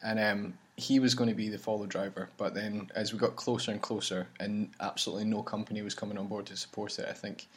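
A man with a slightly husky, low voice talks casually and at length. He rambles, restarts his sentences, and keeps things relaxed and friendly. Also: quick pace, few pauses. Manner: rambling, chatty, many restarts. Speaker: campaign leader and vlog host. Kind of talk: casual chat. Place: traveling campaign van.

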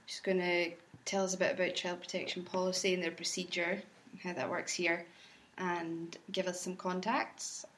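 A woman answers questions in a lowish voice with little rise and fall. Her tone is matter-of-fact and understated as she explains her role. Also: little pitch movement, normal speed, few pauses, fairly bright tone, a little hiss or hum. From Scotland. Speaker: driver and video editor for the campaign. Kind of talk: casual interview answers.

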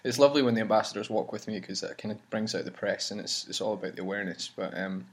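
A man's voice: lowish voice, steady tone, rapid-fire, slightly rough. A man hosting a casual chat about his campaign travels, talking in a rambling way with many restarts. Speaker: campaign leader and vlog host.

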